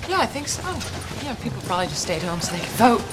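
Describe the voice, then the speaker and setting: A woman's voice: medium pitch, very animated, quick pace, over music. An actress playing a worried, outspoken middle-aged mother, questioning urgently in a naturalistic drama. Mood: curious.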